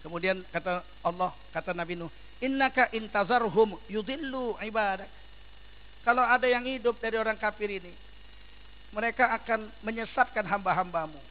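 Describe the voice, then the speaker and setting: Man, preacher, very high voice, emphatic, rhetorical questions, muffled lo-fi recording. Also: fairly quick. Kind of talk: sermon. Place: large mosque.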